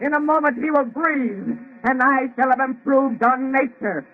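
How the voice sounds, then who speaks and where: A man playing a boastful, feverish young mad scientist in theatrical old-time radio horror style, grandiose and excitable. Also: extremely high pitch, moderate pace. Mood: manic triumph. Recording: narrow, noisy old radio recording.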